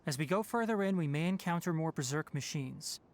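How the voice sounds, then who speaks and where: A man voicing a calm, principled young male forest ranger and scholar in a video game, speaking in a measured, thoughtful way. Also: on the high side, a little hiss or hum. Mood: commanding.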